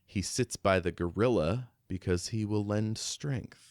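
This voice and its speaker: A man's voice: on the low side, measured pace, darkish tone, dry recording, clean studio sound. A man co-hosting a podcast, talking through a book in a casual, reflective, conversational way. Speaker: podcast co-host.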